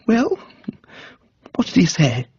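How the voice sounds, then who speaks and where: Dim, amiable upper-class English chap male reader performing: animated, flustered, raised husky voice, comic radio storytelling. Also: high-pitched, slow pace, dry recording, dull audio, pitched above the performer's own voice, heavily acted. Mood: agitated. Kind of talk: dramatised comic audiobook reading for radio.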